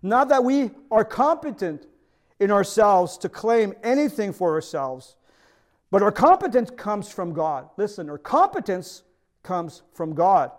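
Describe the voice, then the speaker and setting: A man's voice: high-pitched, very animated, a little slow. A middle-aged man with a French accent, whose first language is French, preaching a sermon as pastor. He speaks earnestly and often turns to the congregation with questions.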